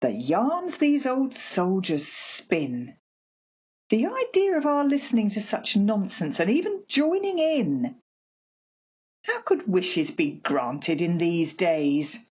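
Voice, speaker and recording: Woman acting a husky, very animated scolding mother. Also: mid-range voice, slow pace, dull audio, full character voice. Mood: scolding, sceptical. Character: practical, scolding older mother and housewife. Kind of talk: amateur radio play acting.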